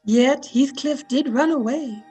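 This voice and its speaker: A high-pitched female storyteller, acted by a woman, narrating an old-time radio drama with clear music behind her. Her delivery is reflective and dramatic. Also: unhurried, dark tone. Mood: solemn recounting. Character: female storyteller recalling a family's past.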